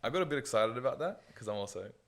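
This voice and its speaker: A man's voice: medium pitch, expressive, rapid-fire. A man hosting a podcast interview, asking short, curious follow-up questions in a casual way.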